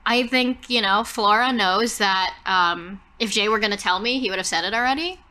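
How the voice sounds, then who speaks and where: A woman's voice: mid-range voice, moderate pace, bright, forceful tone. A woman improvising narration for her character as a player in a tabletop roleplaying game.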